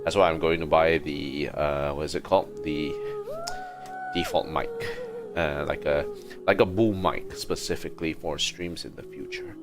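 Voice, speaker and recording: A man's voice: a bass voice, wildly swooping pitch, moderate pace, music in the background. A man hosting a casual gaming livestream, talking in a hesitant, rambling way. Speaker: livestream host.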